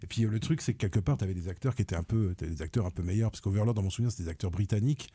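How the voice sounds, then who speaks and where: A man co-hosting a casual film podcast, blunt and quick to mock a bad movie. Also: fairly low pitch, mellow, breathy edge, no echo. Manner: blunt, laughs at bad films. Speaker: podcast co-host. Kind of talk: casual film review chat.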